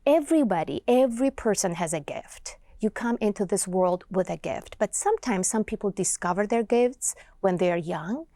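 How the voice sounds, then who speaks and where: A woman with a Georgian accent, a poet and writer being interviewed in a TV studio, speaking in a reflective, earnest, unhurried way. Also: mid-range voice, animated, warm tone, no echo.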